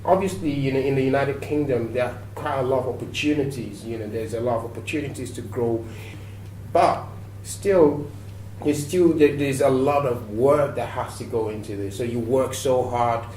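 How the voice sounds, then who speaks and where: A man from Nigeria, a guest on a talk show, telling stories in a relaxed, reflective way with many fillers. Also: medium pitch, normal speed. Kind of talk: interview answers. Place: TV studio. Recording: roomy echo, mic at a distance, faint noise.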